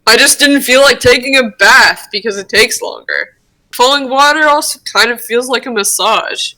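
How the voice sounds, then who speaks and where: A woman's voice: a little high, normal speed, pushed and bright, voice pushed up, poor audio. A woman voicing a playful male creature with a tail, talking in a casual, teasing and reassuring way in an amateur roleplay reading. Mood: amused, reassuring.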